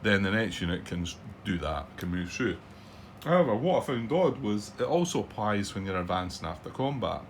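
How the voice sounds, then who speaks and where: A middle-aged Scottish man with a somewhat low voice chats through his board wargame thoughts. He rambles and hesitates, full of ums and false starts, with a soft background hiss. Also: sing-song, moderate pace. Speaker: board wargame channel host. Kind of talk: casual commentary on a solo board game playthrough.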